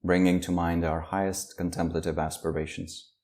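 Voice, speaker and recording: Male meditation teacher, low dark voice, unhurried guided practice with long pauses. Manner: slow, calm, with long pauses.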